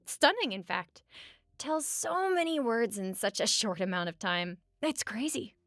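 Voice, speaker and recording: A woman playing a sarcastic but caring roommate in a conversational audio roleplay, talking casually with wry teasing and hesitant pauses. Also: fairly high pitch, lively intonation, fairly bright tone, no room sound, studio quality. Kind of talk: conversational comfort audio roleplay at regular speaking volume.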